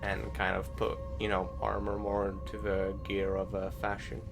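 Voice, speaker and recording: A man doing a casual gameplay commentary in a halting, self-correcting and sometimes sweary way. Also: deep voice, monotone, creaky. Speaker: video game let's play host. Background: loud game music.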